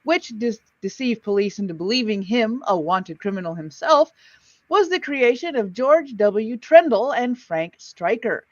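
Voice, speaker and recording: A woman's voice: medium pitch, no room sound, dull audio. A woman hosting an old-time radio listening show, reading introductions and chatting casually in between.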